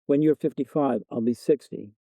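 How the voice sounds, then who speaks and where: A man's voice: medium pitch, normal speed, no room sound, phone-quality sound, voice pushed up, close to an everyday voice. A man playing a bickering fiancé of about thirty, sarcastic yet apologetic, in a story reading. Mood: placating. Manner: defensive, sarcastic, placating. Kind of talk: storybook dialogue narration.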